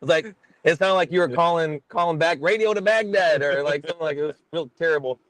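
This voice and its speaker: A man's voice: fairly high pitch, quick pace, fairly bright tone, no echo. A middle-aged man from Texas, chatting casually as a guest musician and telling rambling, self-deprecating stories. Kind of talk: casual podcast chat.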